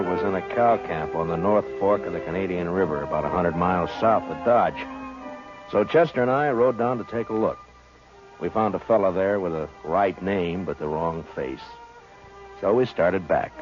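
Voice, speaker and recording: An American actor playing a steady, dry-humored Old West marshal in measured 1950s radio drama style, a man of few words. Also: deep voice, natural voice. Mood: measured narration. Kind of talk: 1950s radio western acting and first-person narration. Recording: dull, lo-fi 1950s radio recording.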